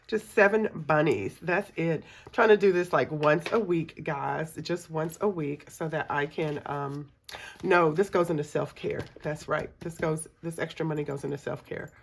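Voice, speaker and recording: A woman's voice: low-pitched, gravelly, husky. A middle-aged woman, a scratchy voice from a cold, chatting casually with many ums and counting money aloud. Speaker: budgeting channel host. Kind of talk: casual chat while cash stuffing.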